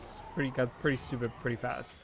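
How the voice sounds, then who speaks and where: A man's voice: medium pitch, level tone, quick pace, vocal fry, fairly bright tone, slight background noise. Rambling young man streaming a game. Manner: rambling, full of "like" and "you know"; thinking aloud, self-correcting. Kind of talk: casual chat while gaming.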